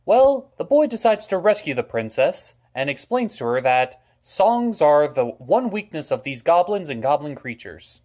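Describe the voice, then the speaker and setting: A sarcastic cartoonish puppet reviewer, put on by a performer, delivering a comic movie recap. The voice sits at a middle pitch and swings very widely, with dry exasperation. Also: very animated, unhurried, few pauses, darkish tone. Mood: sardonic.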